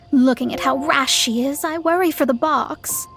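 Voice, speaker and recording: A woman's voice: high voice, unhurried, fairly bright tone, slight background noise. A woman playing a proud young female astrologer in a video game, speaking in a haughty, formal, precise and easily exasperated way. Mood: disappointed. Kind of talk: video game character acting.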